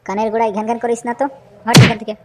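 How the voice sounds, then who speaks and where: Fast-talking grumbling cartoon husband, acted out by a woman. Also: medium pitch, monotone, heavy background noise, natural voice. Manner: crude, complaining. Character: young husband, foul-mouthed, grumbling, whiny when hurt. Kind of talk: comedy cartoon dubbing. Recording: music behind the voice.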